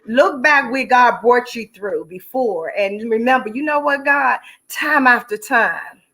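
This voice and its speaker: A woman's voice: on the high side, animated, a little slow, pushed and bright. A middle-aged woman giving personal, testimonial interview answers as an actress, heartfelt and emphatic, leaning on repeated phrases like a preacher. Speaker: stage play actress and singer, interview guest.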